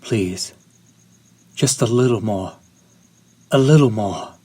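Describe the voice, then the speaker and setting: Man playing a husky, slow-spoken courtly vampire. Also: fairly low pitch, dark tone, dry recording. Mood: hungry, breathless. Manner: formal, old-fashioned, apologetic politeness. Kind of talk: intimate audio roleplay addressed to listener.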